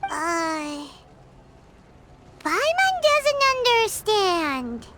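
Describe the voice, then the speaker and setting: A tiny, curious fairy sidekick from a fantasy game. The voice is childlike and piercingly high, swooping excitably in pitch yet unhurried. Music plays behind it. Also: female voice, exaggerated voice. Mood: puzzled. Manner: childlike, refers to self by name, exclamatory. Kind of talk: scripted game dialogue. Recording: music under the voice.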